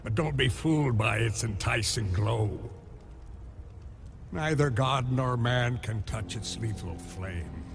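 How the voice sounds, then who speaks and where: A gravelly, tormented giant smith god. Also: male voice, medium pitch, over music. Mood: wary, warning. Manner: theatrical, brooding, halting. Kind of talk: dramatic video game acting.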